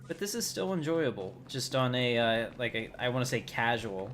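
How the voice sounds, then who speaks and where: A man's voice: medium pitch, sing-song, normal speed, fairly bright tone. A man giving casual, rambling livestream commentary as he thinks aloud while playing a game. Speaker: livestream host. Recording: clearly noisy background.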